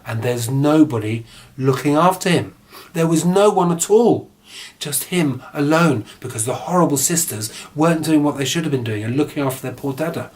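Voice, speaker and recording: A man's voice: on the high side, moderate pace, a little mellow. A man telling a children's fairy tale informally, with chatty, wandering asides. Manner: chatty, digressive, mock-casual asides. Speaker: storyteller. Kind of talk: informal improvised children's storytelling.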